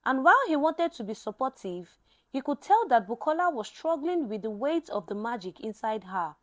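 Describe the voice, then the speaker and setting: A woman's voice: a little high, big pitch swings, moderate pace. A woman narrating a scripted dramatic story as a storyteller.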